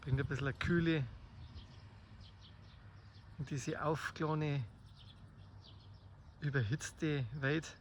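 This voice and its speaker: A man with a Bavarian accent improvises spoken poetry outdoors in a slow, reflective, halting way, in short phrases with long pauses. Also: on the high side, steady tone. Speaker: amateur poet. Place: outdoors in the countryside. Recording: faint outdoor nature noise. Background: birdsong and rural ambience.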